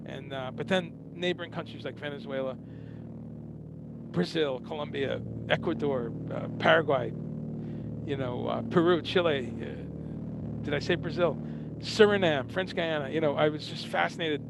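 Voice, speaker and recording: Host, 50s man from Guyana, slightly high voice, loose and rambling, street hum audible behind. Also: moderate pace, gravelly. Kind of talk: casual talk-show intro monologue.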